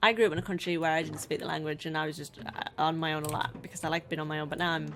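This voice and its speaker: A woman's voice: deep voice, fairly even intonation, racing, gravelly. A young woman chats casually on a livestream and reads out viewer messages, laughing often. She grew up in the north of England. Faint keyboard clicks and noise sit behind her. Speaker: co-host reading chat.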